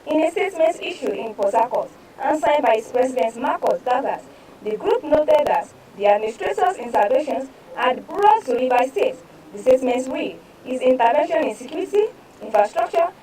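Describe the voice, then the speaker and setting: A woman news presenter with a Nigerian accent, reading newspaper reports aloud in a formal, halting way. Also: high-pitched, lively intonation, warm tone, far from the mic, low-quality recording.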